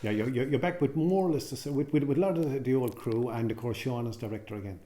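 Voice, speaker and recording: Stumbling interviewer. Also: male voice, medium pitch, quick pace, mellow. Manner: stumbling, repeats words. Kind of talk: casual interview.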